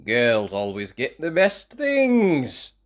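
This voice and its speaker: Small boy, acted by a story reader, emphatic, plain-spoken, childlike, lively intonation, storybook. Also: medium pitch, slow. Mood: grumbling. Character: young schoolboy, plain-spoken and easily put out.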